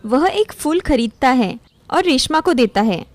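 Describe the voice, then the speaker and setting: High-pitched woman narrating a story. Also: very animated, measured pace, no room sound. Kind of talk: scripted moral story narration.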